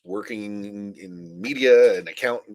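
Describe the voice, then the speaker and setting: A man's voice: fairly low pitch, unhurried. A middle-aged man from the United States co-hosting a podcast, talking bluntly and animatedly in casual opinionated chat. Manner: blunt, animated, acts out whiny quotes. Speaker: podcast co-host.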